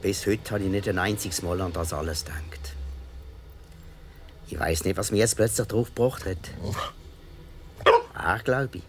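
A man's voice: low-pitched, measured pace, pauses often, a little hiss or hum. An actor with a Swiss accent playing a middle-aged man in a radio play, reminiscing playfully in a musing way. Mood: musing, amused. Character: reminiscing grown man, playful with his dog.

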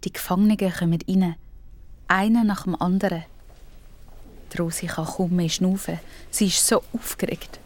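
A woman's voice: lowish voice, fairly even intonation, moderate pace, pauses often, a little mellow. A woman with a Swiss German accent narrating a scripted audio story for children.

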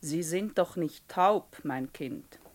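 An actress playing a brusque, haughty middle-aged shop boss in a 1960s radio play, curt and condescending. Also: female voice, fairly low pitch, slow pace, a little mellow. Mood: curt, dismissive.

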